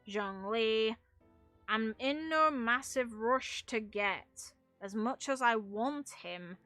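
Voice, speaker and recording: A woman's voice: mid-range voice, bright, forceful tone, no echo. A woman chatting casually on a livestream as a game streamer, giving her opinions in an emphatic, opinionated way. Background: music.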